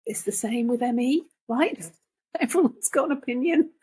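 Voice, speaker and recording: A middle-aged or older woman talking casually and reflectively in halting phrases, as a guest reader. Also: fairly high pitch, expressive, darkish tone, slightly rough. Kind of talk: casual conversational discussion.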